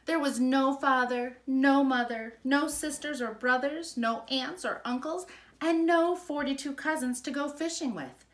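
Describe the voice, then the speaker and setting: Female storybook reader for children: high-pitched, expressive and emphatic, taking her time. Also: a little slow. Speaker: school principal reading aloud. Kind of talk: children's storybook read-aloud.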